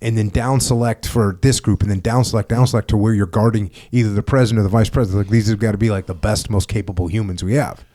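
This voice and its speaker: A man with a low voice tells a story in a loud, lively way and is amused at himself. He jumps up in pitch when he acts out a scene. The talk is casual and full of asides. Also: fast, hardly any pauses. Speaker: podcast host.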